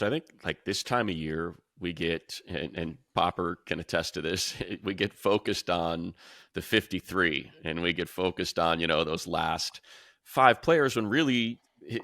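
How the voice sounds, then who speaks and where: A man's voice: sits low, unhurried, pushed and bright, no room sound, clean studio sound. An American man hosting a casual sports panel, talking informally and throwing out questions. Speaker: sports show host and play-by-play announcer.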